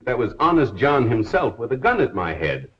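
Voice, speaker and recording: An actor playing a suave amateur detective and broadcaster in a 1930s film, urbane, unruffled and dryly ironic. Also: male voice, mid-range voice, sing-song, moderate pace, lightly acted. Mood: wry, unruffled. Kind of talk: 1930s Hollywood film acting. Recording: dull 1930s film soundtrack.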